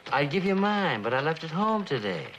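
An actor playing a wry, unhurried police lieutenant in an old television drama, dry and teasing. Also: male voice, on the high side, sing-song, fairly bright tone, highs cut off. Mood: dryly teasing. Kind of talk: 1960s television drama acting. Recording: faintly noisy old TV soundtrack.

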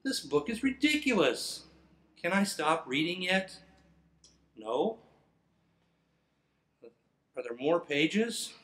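Middle-aged male storyteller, unhurried and theatrical, with big swings in pitch for a kids' picture book. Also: very animated, fairly bright tone. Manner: theatrical, playfully exaggerated reading. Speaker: firefighter. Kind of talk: children's picture book read-aloud. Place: indoors in front of a fire truck. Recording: roomy, echoing sound.

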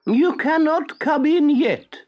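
Man putting on a very slow, high-pitched lady snail. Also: dull audio. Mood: slow, unruffled. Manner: drawn-out, very slow delivery. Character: slow, unhurried female snail servant of a fairy.

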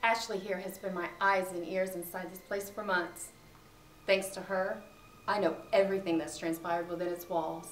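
Brief: actress as a calm scheming matriarch, low voice, roomy echo, faint hum. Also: female voice, normal speed, natural voice. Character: scheming mother revealing her plan. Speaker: actor.

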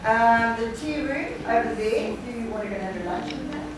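Woman acting helpful workmate on stage, highish voice, super echoey theatre. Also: animated, a little fast, natural voice. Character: helpful woman co-worker showing a newcomer around. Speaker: stage actor. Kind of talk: live amateur stage play dialogue. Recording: echoey theatre from far off, noisy.

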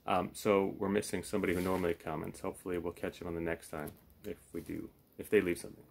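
A man's voice: deep voice, flat delivery, fast, vocal fry, slight background noise. Man, thirties, casual puppeteer host, easygoing straight man, off-the-cuff chat, recorded at home at arm's length. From lives in Florida, United States. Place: small home room, indoors.

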